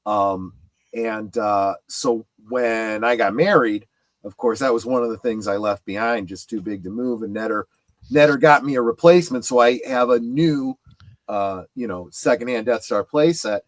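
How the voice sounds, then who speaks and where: A middle-aged man in casual panel chat, speaking in a halting but emphatic way. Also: mid-range voice, no echo. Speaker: show panelist. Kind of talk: casual chat about collectibles.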